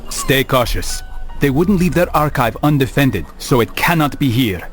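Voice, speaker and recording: An actor playing a calm, resolute assassin in a video game, speaking in a measured, grave, formal way. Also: male voice, mid-range voice, soft background music, natural voice. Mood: cool, guarded. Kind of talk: video game cutscene acting and narration.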